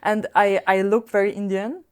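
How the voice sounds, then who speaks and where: A woman's voice: mid-range voice, flat delivery, moderate pace, a little mellow, clean tone. A middle-aged woman from Austria speaking as an interview guest, hesitant, with many fillers and restarts. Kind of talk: casual interview conversation.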